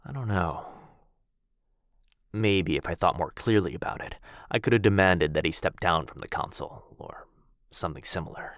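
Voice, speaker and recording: Casting: male actor as noble, melancholy vampire lord for ASMR roleplay; low register, soft formal delivery, protective, close and dry sound. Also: moderate pace, no room sound. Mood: tender, regretful. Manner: soft-spoken, formal, tender, with hushed breathy dips. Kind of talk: intimate boyfriend ASMR roleplay.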